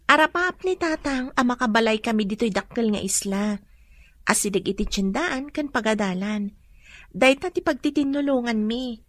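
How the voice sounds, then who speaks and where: Student daughter: young, sincere, higher-pitched, radio drama. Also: female voice, expressive, moderate pace, fairly bright tone, no echo. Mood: earnest, wistful.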